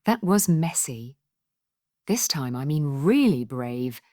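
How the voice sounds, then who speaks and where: A woman voicing an eager, plucky little storybook girl with an excitable, earnest manner. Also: low-pitched, takes it slowly, no room sound, clean studio sound, heavily acted. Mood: playful, determined.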